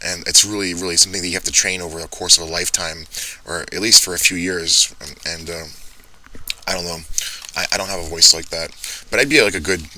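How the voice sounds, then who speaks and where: A young man with a deep baritone voice rambling through a casual monologue, swearing often and laughing at his own jokes. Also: steady tone, fast, bright, forceful tone, low-quality recording. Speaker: vlogger. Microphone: handheld or phone mic, speaking softly.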